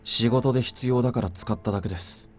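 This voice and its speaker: An actor playing a restrained, businesslike soldier bodyguard in a Japanese visual novel, deferential but blunt. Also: male voice, medium pitch, racing, darkish tone, slight background noise, lightly acted. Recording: game music under the voice.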